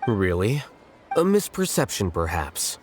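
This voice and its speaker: Low-voiced, aloof scholar. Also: male voice, sing-song, a little slow. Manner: dry, detached, precise. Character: aloof, blunt, intellectual male scholar-official. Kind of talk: video game voice acting. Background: background music.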